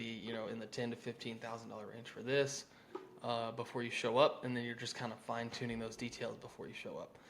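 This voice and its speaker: A man's voice: mid-range voice, vocal fry, a little hiss or hum. A man with an American accent coaching contractors in an informal, conversational, salesy way, mixing instruction with sample phone-script lines.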